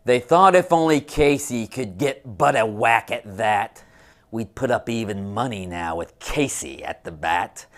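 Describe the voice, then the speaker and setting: A man giving a dramatic poetry reading in a theatrical, deliberate way, swinging between hushed tones and high, loud exclamations. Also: lively intonation. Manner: drawn-out, deliberate emphasis. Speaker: sports columnist reciting a poem.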